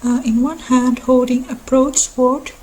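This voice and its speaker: A woman who is not a native English speaker, giving a soft ASMR-style informal lecture in a halting way, as if explaining lore on her own channel. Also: high voice, fairly even intonation, slight background noise, rough recording. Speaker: host of a mythology channel.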